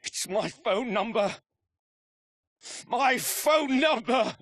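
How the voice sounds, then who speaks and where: An actor playing a desperate captive researcher, pleading and strained, in a video game cutscene. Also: male voice, very high-pitched, animated, very slow, a bit bright, no echo, full character voice. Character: desperate captive male researcher under torture.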